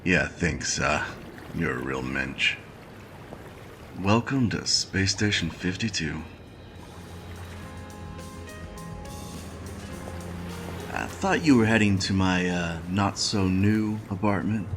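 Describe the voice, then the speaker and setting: Gravelly, weary noir game engineer, male. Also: deep voice, a little slow, fairly bright tone, slight background noise. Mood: dry, sardonic. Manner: hesitant, wry, muttering asides. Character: weary, dry-witted mechanical engineer in a life-support suit. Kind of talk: noir point-and-click game acting. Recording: music behind the voice.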